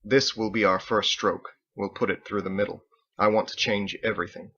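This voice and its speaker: An earnest paladin leader for a storybook, played by a male reader. He speaks formally and courteously, with firm resolve, in a fairly low voice. The recording is slightly dull and lo-fi. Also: level tone, fairly bright tone, slightly rough. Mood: resolute. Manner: formal, measured, courteous phrasing.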